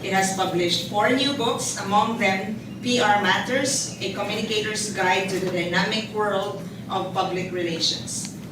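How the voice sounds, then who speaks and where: A woman acts as program host, delivering formal prepared introductions. Her voice comes from a distance in a very echoey indoor space, with clear noise in the recording. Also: mid-range voice, normal speed. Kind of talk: formal event hosting, reading prepared introductions. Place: indoor event space at a book launch. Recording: echoey hall from far off, noisy.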